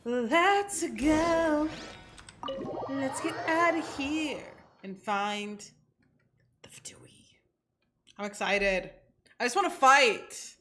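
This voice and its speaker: A woman's voice: fairly high pitch, noisy background. A woman streamer giving casual, slangy, playful reactions to a game as she plays. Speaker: gaming streamer. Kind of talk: casual gameplay reaction commentary. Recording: streamer voice over game music.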